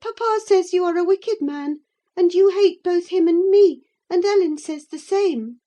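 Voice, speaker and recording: A spirited teenage girl of good family as a woman narrator puts her on in an audiobook. Her voice leaps high, impulsive and emphatic, exclaiming her words. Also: unhurried, warm tone. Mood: defiant. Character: spirited, impulsive teenage young lady, playful and defiant. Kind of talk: audiobook character voice.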